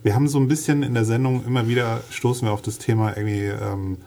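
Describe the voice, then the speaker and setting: A man's voice: mid-range voice, steady tone, quick pace, vocal fry, warm tone. A man hosting a casual talk show, asking questions in a hesitant way and repeating words while he searches for them. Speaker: podcast host. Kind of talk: casual interview chat.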